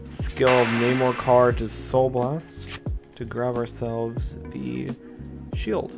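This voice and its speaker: A young man with a slightly raspy voice, chatting casually through a card game deck test and explaining his plays. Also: mid-range voice, monotone, takes it slowly. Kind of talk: casual gameplay commentary. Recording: music playing underneath.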